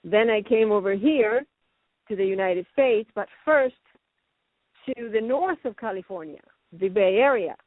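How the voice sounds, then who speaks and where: A woman's voice: mid-range voice, expressive, unhurried, no room sound. Chatty woman on a tinny phone line. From raised in Venezuela and Canada. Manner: chatty, rambling, laughs often. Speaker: guest actress. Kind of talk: casual interview chat.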